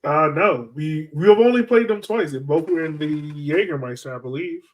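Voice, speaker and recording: A man's voice: on the high side, moderate pace, lo-fi sound. A man hosting a podcast, chatting casually about sports in an informal, bantering way. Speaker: podcast host.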